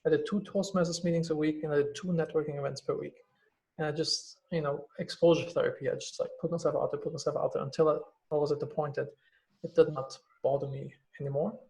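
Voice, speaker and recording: A quick, gravelly-voiced man with a German accent chats candidly on a podcast. His voice sits a little high for a man, and he talks in a loose, filler-heavy way, dropping the odd swear word. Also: little pitch movement, darkish tone, poor audio. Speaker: guest, company CEO. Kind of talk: casual podcast conversation.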